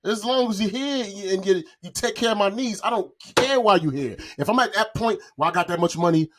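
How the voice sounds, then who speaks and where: A man's voice: high-pitched, fairly quick, fairly bright tone. A man in casual podcast conversation, talking in slang and joking at his own expense. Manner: slangy, self-deprecating joking. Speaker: podcast host. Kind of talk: casual chat.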